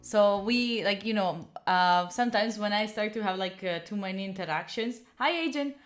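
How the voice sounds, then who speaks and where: A woman's voice: medium pitch, moderate pace. A young woman with an Italian accent chatting casually on a live stream, rambling and giggling with lots of fillers. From Italy. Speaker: study streamer.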